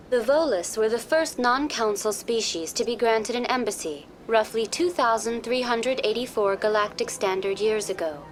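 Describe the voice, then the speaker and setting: A woman's voice: a little high, natural voice. A woman voicing a polite, programmed virtual intelligence tour guide in a video game, speaking formally and precisely. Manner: formal, courteous, precisely worded. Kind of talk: scripted informational video game dialogue.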